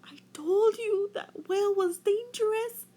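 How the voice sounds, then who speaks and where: A woman's voice: extremely high pitch, measured pace, mellow, voice pushed up. A woman voicing an irritable, suspicious male storybook fish who exclaims and accuses in alarm. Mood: wary.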